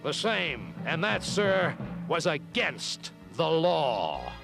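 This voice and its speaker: Pompous, creaky cavalry captain. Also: male voice, fairly high pitch, huge pitch swings, full character voice. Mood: stern. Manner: pompous, deliberate, emphatic. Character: stubborn, haughty male cavalry captain, strict about rules. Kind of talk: animated series voice acting. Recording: music behind the voice.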